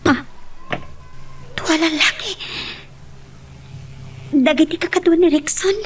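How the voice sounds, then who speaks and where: An actress plays a young woman in a radio-style love drama, her voice very high. She speaks with an Ilocano accent, anxious and earnest, ready to sacrifice herself for love. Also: takes it slowly, recorded from a distance.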